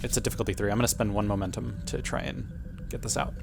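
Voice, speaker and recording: A man's voice: on the low side, fairly even intonation, racing, vocal fry. A man playing a tabletop game, chatting casually in a self-deprecating, joking way. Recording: noisy room background.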